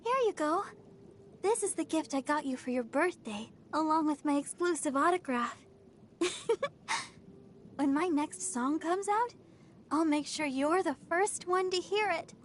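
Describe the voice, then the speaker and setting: A woman's voice: high-pitched, unhurried, slight background noise. A woman playing a sweet, earnest, perky teenage girl idol healer in an anime video game. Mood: cheerful, grateful.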